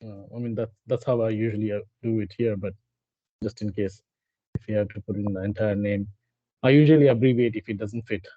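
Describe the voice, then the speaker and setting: A man on staff speaking in an informal work meeting, halting and polite, repeating phrases as he goes. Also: lowish voice, fairly even intonation, dry recording, muffled recording. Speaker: staff member in a training meeting.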